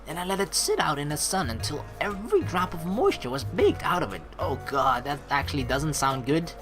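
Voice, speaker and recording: Female let's play host, low voice, lively intonation, chatty reading and reacting over game music. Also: brisk. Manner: playful, chatty, reacts to the story. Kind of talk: game playthrough, reading narration aloud with casual commentary.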